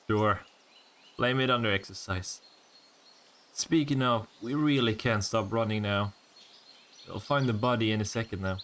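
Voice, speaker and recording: A man's voice: on the low side, fairly bright tone. A man voicing a cocky, flirtatious vampire in a scripted roleplay audio drama, full of sardonic, teasing banter. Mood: exhilarated, teasing.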